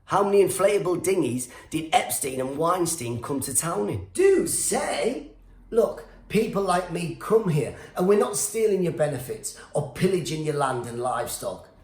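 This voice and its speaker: A man's voice: on the high side, sing-song, normal speed, darkish tone, reverberant. A male stand-up comedian, born in Iran with Farsi as his first language, delivering a sarcastic rant that keeps slipping into silly put-on voices.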